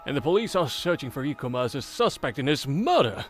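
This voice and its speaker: A man's voice: fairly high pitch, very animated, fast talker. An actor playing an earnest male investigator in a mystery visual novel, with game music behind. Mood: astonished, grave. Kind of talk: English visual novel voice acting.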